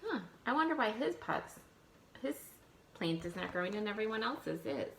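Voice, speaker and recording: A woman teacher reading a picture book aloud to young children, expressive, thinking aloud and putting questions to her listeners. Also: medium pitch, moderate pace, slight background noise. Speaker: elementary school teacher.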